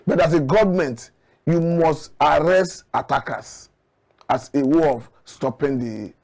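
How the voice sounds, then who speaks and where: A man with a Nigerian accent giving current affairs commentary as a guest analyst, measured and earnest as he argues his points. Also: on the high side, vocal fry, darkish tone.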